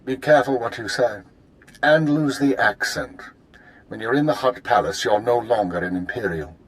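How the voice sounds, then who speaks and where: An actor playing a cool, commanding intelligence spymaster in a video game, speaking formally and precisely with authority. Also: male voice, mid-range voice, moderate pace, close to an everyday voice, low-quality recording. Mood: instructing, stern. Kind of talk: video game cutscene acting.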